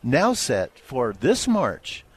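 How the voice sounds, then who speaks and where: A man's voice: mid-range voice, wildly swooping pitch, extremely slow, husky. A man co-hosting a radio movie show, chatting casually and playfully with wry remarks. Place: radio studio.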